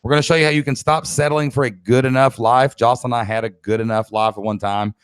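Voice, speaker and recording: A man's voice: mid-range voice, fairly even intonation, fast, clear, resonant tone, no echo, studio quality. A man from Kentucky with a Southern accent, presenting a live online business training as a coach in a folksy, emphatic, motivational way. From Kentucky, United States.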